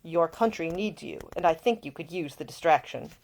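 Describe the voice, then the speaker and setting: A woman voicing a composed, probing older gentleman, an uncle and lord who speaks formally and deliberately in an audiobook story. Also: low-pitched, animated, poor audio. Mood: gently pressing.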